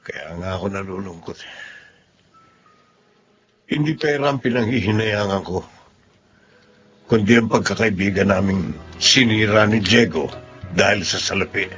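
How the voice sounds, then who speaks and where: A man's voice: lowish voice, unhurried, long pauses, a bit bright, muffled recording, faint music behind. A man acting an earnest, polite, downhearted engineer husband in a Filipino radio drama, with a Filipino accent. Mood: sad, betrayed.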